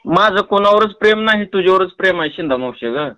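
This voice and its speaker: High-pitched rural Marathi man, playfully pestering. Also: expressive, fairly quick, sharp tone, clear, resonant tone, dry recording. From Tuljapur, Maharashtra, India. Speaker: prank caller. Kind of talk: teasing prank phone call chat. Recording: close, dry phone call recording.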